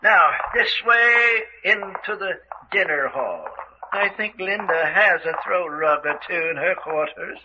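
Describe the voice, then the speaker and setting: A man's voice: high-pitched, animated, a little slow, noisy background. A man acting an older, genteel villain who rules a castle, smug and coolly menacing, taunting with dry chuckles in an old-time radio mystery style. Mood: genial hosting. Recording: dull, lo-fi radio drama recording.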